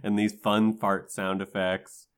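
A man's voice: fairly low pitch, little pitch movement, takes it slowly, smooth. A man co-hosting a podcast, chatting casually in a jokey, loose way. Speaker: podcast co-host. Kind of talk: casual bantering podcast chat.

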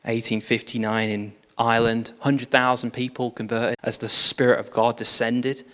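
A man giving a sermon, telling revival history in an animated storytelling manner with frequent fillers. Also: medium pitch, normal speed. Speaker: preacher. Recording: long hall reverb.

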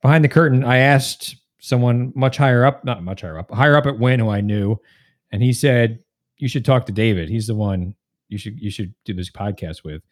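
A man's voice: medium pitch, a little fast. An American man hosting a podcast, asking informal, rambling questions that he keeps rephrasing. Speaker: podcast host, interviewer. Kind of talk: casual interview questions.

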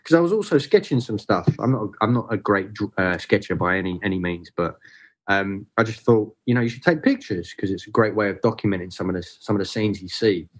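Hesitant, low-voiced man as interview guest. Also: a little fast, breathy edge. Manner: hesitant, many fillers and restarts. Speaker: photographer guest. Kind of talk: casual interview chat.

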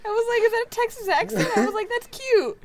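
A woman's voice: very high-pitched, fast talker, a crowd in the background. A woman co-hosting a casual podcast, joking, giggling and trailing off mid-thought. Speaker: podcast co-host. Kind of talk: casual chat.

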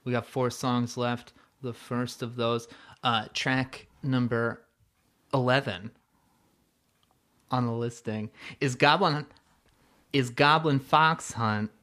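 A man's voice: mid-range voice, steady tone, slow, long pauses, sharp tone. A man hosting a podcast, talking in a laid-back, jokey way and swearing casually. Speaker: podcast host.

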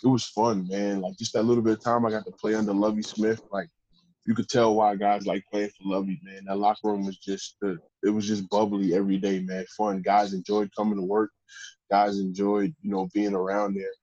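A man, a professional football player, telling stories in a casual interview with a deep voice and a loose, rambling way of talking. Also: flat delivery, brisk, a little mellow, no echo, lo-fi sound. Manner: laid-back, rambling with restarts. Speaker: pro football player guest.

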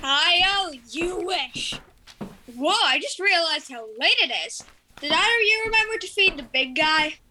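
A woman's voice: extremely high pitch, lively intonation, normal speed, background noise. A young girl playing a dry, sarcastic female lab keeper in an audio drama. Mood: casual. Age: child, nine to twelve. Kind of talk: youth audio drama acting.

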